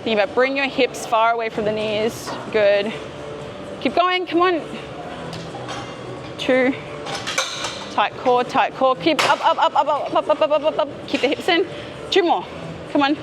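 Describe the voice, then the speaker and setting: A woman's voice: sits high, a little slow, pauses often, noisy background. A woman fitness coach explaining and cueing a workout as she hosts a gym vlog. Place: indoor gym.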